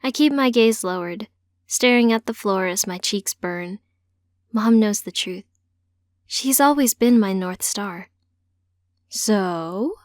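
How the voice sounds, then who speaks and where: A woman's voice: mid-range voice, measured pace, no room sound, clean studio sound. A woman reading scripted first-person fiction as an audiobook narrator.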